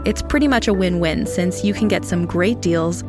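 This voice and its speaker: A woman's voice: lowish voice, moderate pace, over music. A woman reading a scripted promotional announcement as a podcast host.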